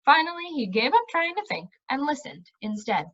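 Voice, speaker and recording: Animated, high-voiced woman reading aloud. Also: moderate pace, highs cut off. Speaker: read-aloud narrator. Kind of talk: storybook narration.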